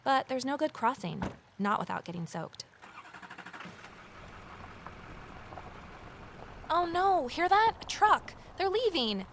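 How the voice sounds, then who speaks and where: A woman's voice: high voice, big pitch swings, normal speed, a little hiss or hum. A woman playing an earnest, uneasy amateur investigator, confiding and intent as she narrates an audio drama. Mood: alert. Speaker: narrator and lead character.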